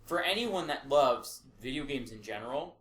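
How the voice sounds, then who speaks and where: A man's voice: mid-range voice, animated, normal speed, fairly bright tone. A man co-hosting a casual podcast, giving emphatic, sweary opinions. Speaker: podcast co-host. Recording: roomy echo, faint background noise.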